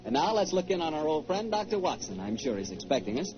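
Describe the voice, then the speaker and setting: A man's voice: on the high side, big pitch swings, fast talker. An American man working as a 1940s radio announcer, polished and salesmanlike in delivery. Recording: muffled 1940s radio recording with music.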